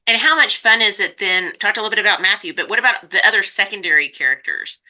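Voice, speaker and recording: Woman interviewer for a podcast, medium pitch, strikingly bright tone, conversational questioning style. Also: animated, quick pace, low-quality recording. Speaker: podcast host.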